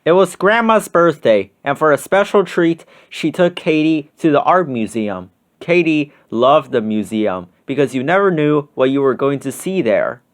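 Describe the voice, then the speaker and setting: Male storybook narrator, slightly higher-pitched than average, expressive read-aloud for children. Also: normal speed, hardly any pauses. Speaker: storybook reader.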